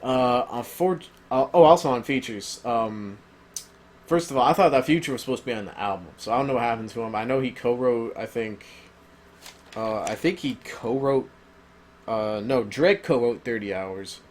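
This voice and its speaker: A man's voice: mid-range voice, moderate pace. A man giving a casual, rambling spoken review, full of ums and uhs, who says he is losing his voice. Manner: rambling, filler-heavy, opinionated. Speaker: album reviewer.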